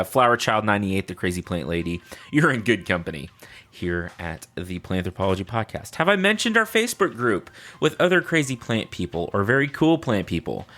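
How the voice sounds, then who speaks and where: A man's voice: lowish voice, a bit bright. A man hosting a casual solo podcast, chatting informally and playfully. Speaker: podcast host.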